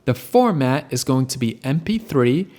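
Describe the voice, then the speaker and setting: A man's voice: medium pitch, animated, moderate pace, a little mellow. Casual male tutorial host. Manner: conversational, explains step by step. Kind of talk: casual screen-share software tutorial.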